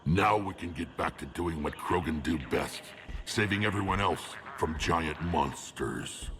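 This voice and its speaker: Super deep gravelly alien warlord, blunt and wry, video game cutscene, bit of noise behind. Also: male voice, measured pace, fairly bright tone. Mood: gruff, resolute. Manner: blunt, dry-humored. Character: battle-hardened alien Krogan clan warlord, gruff, wry, proud.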